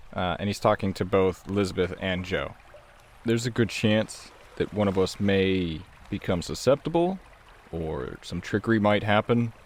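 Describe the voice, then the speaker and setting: Lower-voiced male player, faint watery noise. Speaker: tabletop game player. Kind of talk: casual in-game planning and questions.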